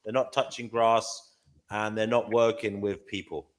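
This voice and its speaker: A man's voice: on the low side, little pitch movement, unhurried. A man hosting an online panel call, cutting in to steer the discussion and hand the floor to others. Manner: cuts in to steer the talk. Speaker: host.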